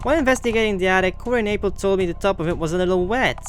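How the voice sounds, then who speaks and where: A man's voice: high-pitched, rapid-fire, slight background noise, pitched above the performer's own voice, close to an everyday voice. A man voicing a determined young accuser in a visual novel, speaking with indignant, dramatic conviction. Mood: confident reasoning.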